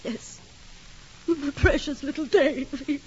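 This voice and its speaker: Peggotty, a tender homely maid. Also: female voice, sits high, slow, darkish tone. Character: plump kindly old-fashioned housemaid. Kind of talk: 1950s radio drama acting. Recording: dull lo-fi vintage radio recording with hiss.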